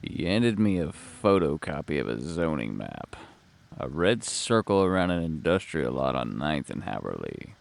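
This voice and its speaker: A man playing a hard-boiled, world-weary private detective, narrating a noir audio drama in a terse deadpan with a gravelly voice. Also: very deep voice, moderate pace, pitched below the performer's own voice, exaggerated voice. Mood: brooding.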